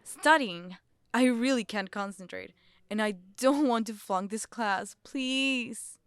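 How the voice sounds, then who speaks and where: A woman's voice: fairly high pitch, clean tone, no echo. A woman acting in an audio drama as a timid, troubled young wife with a hesitant way of speaking. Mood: anxious, pleading. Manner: hesitant, halting. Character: John's distressed, timid wife, also as a teenage girl.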